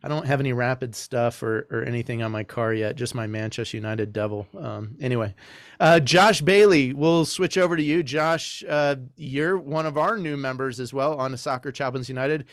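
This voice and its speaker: A man's voice: medium pitch, moderate pace, no echo, clean studio sound. A man hosting a casual podcast, joking with guests and inviting each one to speak. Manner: jokey, friendly, prompts guests. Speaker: podcast host.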